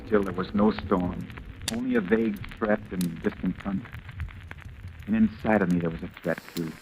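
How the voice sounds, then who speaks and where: An actor playing a haunted fugitive who narrates his own story gravely and deliberately in an old radio drama. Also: lowish voice, big pitch swings, background noise. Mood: brooding. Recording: old radio recording with music behind.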